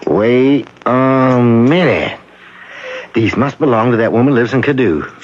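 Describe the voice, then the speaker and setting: A man acting an obliging small-town locksmith in a radio drama, husky and folksy in his chatty talk. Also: medium pitch, measured pace, close to an everyday voice. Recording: dull, muffled old radio recording.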